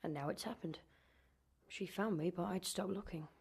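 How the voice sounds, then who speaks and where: Husky, mellow, wry young woman. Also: lowish voice, normal speed, heavily acted. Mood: reflective. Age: young adult. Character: wry, searching young daughter given up at birth. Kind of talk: radio drama monologue.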